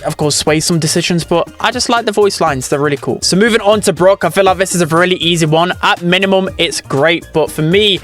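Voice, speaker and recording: A man with a fairly high voice hosts a gaming video. He gives casual, opinionated commentary, with music playing behind him. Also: fairly quick, hardly any pauses, a bit bright.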